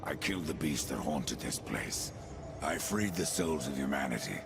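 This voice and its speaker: A man voicing a grim, weary horseman of the apocalypse in a dark fantasy game, with a terse, grave and measured delivery. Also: lively intonation, very noisy, full character voice. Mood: regretful, grim. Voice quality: gravelly, very deep.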